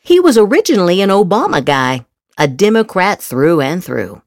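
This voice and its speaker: A woman reads political posts like a stage piece, in a slightly low voice with very animated intonation. She takes her time, stretching words for sarcastic emphasis. The recording is close and dry. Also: dry recording. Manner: exaggerated, sarcastic inflection with drawn-out emphasis. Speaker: podcast host reading social media posts aloud. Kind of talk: theatrical dramatic reading of political posts.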